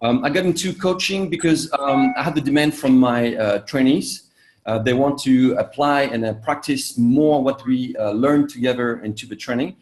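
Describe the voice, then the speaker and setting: An English-speaking man, born in Vietnam and raised in France, works as a coach and answers interview questions. His delivery is halting and full of "uh" fillers and self-corrections, at a middle pitch. The sound is echoey, as if recorded in a reflective room. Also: a little mellow, a little hiss or hum. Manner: halting, many "uh" fillers and restarts. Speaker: executive coach.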